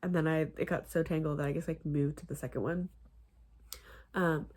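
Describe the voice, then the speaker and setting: A young woman chatting casually about her crochet projects, rambling with many fillers and restarts, her voice a little husky. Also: low-pitched, fairly even intonation, fairly quick, a little mellow. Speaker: crochet vlogger. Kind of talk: casual chat.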